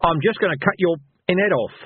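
A man voicing an old barbarian hero, gruff and blunt, with rough unschooled speech in a fantasy audiobook. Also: high-pitched, lively intonation, a little fast, no room sound, narrow, tinny audio, pitched above the performer's own voice, heavily acted. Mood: menacing.

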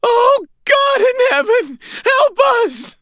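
A man's voice: very high-pitched, sing-song, measured pace, no room sound, studio quality, voice pushed up, exaggerated voice. A man voicing an elderly landlady in an audiobook, a frightened, devout and grateful woman with a quavering voice and fervent exclamations. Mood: agonized, pleading.

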